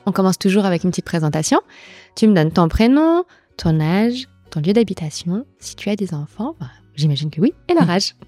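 A woman's voice: lowish voice, big pitch swings, a little fast, warm tone, dry recording, soft background music. A woman hosting a podcast interview in an informal, chatty conversational style. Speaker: podcast host.

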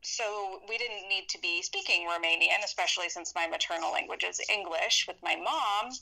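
A woman's voice: on the low side, moderate pace, vocal fry, sharp tone, poor audio. An American woman in her thirties, a poet and historian, answering interview questions in a chatty, storytelling way and laughing often. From United States. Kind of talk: relaxed conversational interview answers.

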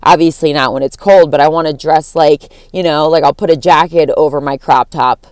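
A young woman from Florida with a deep voice that cracks at times, musing on a casual solo podcast in a rambling, profane way full of fillers. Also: fairly even intonation, brisk, a little mellow, smooth, no echo, clean studio sound. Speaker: podcast host.

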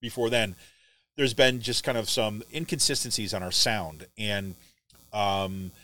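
Male podcast host, lowish voice, casual and rambling, studio mic. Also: fairly even intonation, measured pace, sharp tone. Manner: rambling, many fillers and restarts. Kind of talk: casual chat.

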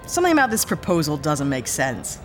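Woman as wry, animated space pilot for hire. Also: sits low, brisk, faint music behind. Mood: sceptical. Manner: sarcastic, sceptical, hesitant asides. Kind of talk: sci-fi audio drama acting.

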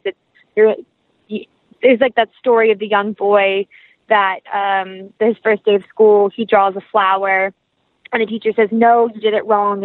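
A woman guest answers interview questions in a conversational, reflective way. Her voice sits at a medium pitch, and she drifts into small illustrative stories with earnest feeling. The sound is dry, with very little room around it. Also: no echo, phone-quality sound. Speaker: interview guest, professional actress.

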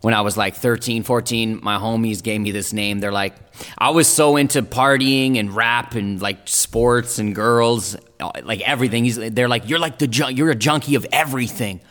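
A man's voice: medium pitch, normal speed, fairly bright tone, long reverb tail. A man whose first languages are German and Italian, a rapper guest talking in a laid-back, slangy way with lots of fillers and restarts. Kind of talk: casual interview chat.

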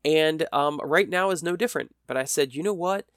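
Stuffy worn-out guy rambling on his podcast, smooth voice, dry close mic. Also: fairly high pitch, fairly quick, a bit bright, dry recording. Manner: rambling, self-mocking humor. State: stuffy from a cold; tired. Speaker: podcast host. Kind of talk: solo podcast chat. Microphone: podcast microphone.

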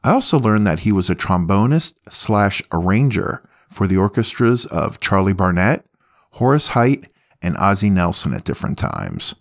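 A man's voice: sits low, sing-song, normal speed. A man hosting a music podcast in a chatty, playful radio presenter's style, speaking directly to listeners.

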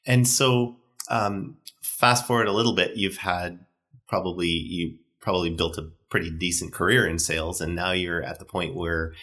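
Very low-voiced male interviewer. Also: steady tone, moderate pace, bright, forceful tone. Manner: affirming, short encouraging replies. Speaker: interview host. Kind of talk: conversational interviewing.

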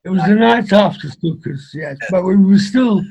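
An older man with a British accent, a rabbi reminiscing conversationally as a guest, halting and repeating his words. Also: high-pitched, expressive, gravelly, darkish tone, slightly rough, muffled recording. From England.

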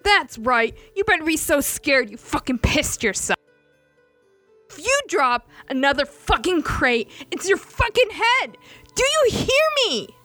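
A gruff pirate man who swears through every line and barks his threats, as a woman narrator puts him on. He is stern and hard-edged, with faint music playing behind the voice. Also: fairly high pitch, expressive, takes it slowly, no echo, heavily acted. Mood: bellowing. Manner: gruff, cursing, shouting threats. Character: stern, foul-mouthed male pirate enforcer.

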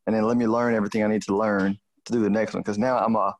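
A man's voice: lowish voice, flat delivery, very fast. A man with a Houston, Texas accent chatting casually as a podcast host, colloquial and peppering his talk with "you know". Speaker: podcast host and financial advisor. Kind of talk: casual conversational chat.

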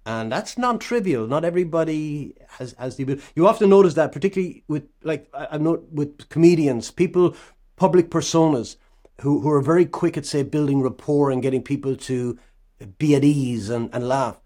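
A man's voice: fairly high pitch, fairly quick, mellow. A man hosting an interview, reflecting thoughtfully and restarting his phrases now and then. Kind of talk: conversational interview.

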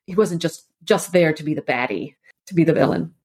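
A woman speaking as an expert guest in a conversational podcast interview, explaining things informally with animated asides. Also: low voice, a little fast, husky. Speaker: expert guest, annotated edition editor.

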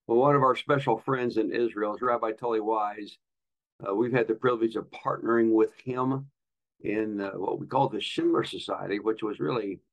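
Creaky-voiced man hosting an interview. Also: medium pitch, poor audio. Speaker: podcast host; pastor. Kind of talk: conversational interviewing.

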